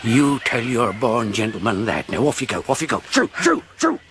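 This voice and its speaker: An actor playing a half-crazed marooned old sailor in a radio drama, rambling and repeating himself. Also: male voice, medium pitch, wildly swooping pitch, normal speed, background noise. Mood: wary, insistent.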